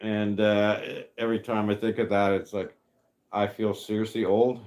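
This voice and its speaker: A man in his fifties hosting a casual livestream chat, rambling and halting with many fillers as he answers viewers. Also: on the low side, level tone, a little mellow. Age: 50s. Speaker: livestream host reading chat.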